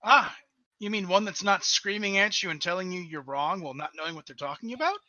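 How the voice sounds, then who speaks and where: Male podcast guest with a high, sharply bright voice, casual banter, dry close recording. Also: a little fast, no room sound, dull audio.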